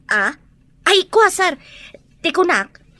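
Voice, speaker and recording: A woman's voice: very high-pitched, big pitch swings, slow pace, pushed and bright, no room sound, heavily acted. A woman playing a gossipy, complaining but deferential household helper in an audio drama, with a Filipino accent. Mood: flustered, deferential. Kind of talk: Ilocano audio drama acting.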